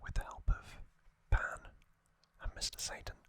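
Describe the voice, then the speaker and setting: An ASMR host whispering a recap in a breathy, unvoiced whisper, in short halting phrases with pauses. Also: fairly bright tone, no room sound. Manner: slow, halting, pausing between short phrases.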